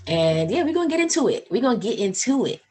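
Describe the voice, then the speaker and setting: A woman's voice: on the high side, sing-song, quick pace, darkish tone. A woman in her twenties hosting a podcast in casual, upbeat chat, full of "like" and "um". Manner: chatty, upbeat, lots of "like" and "um". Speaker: podcast host.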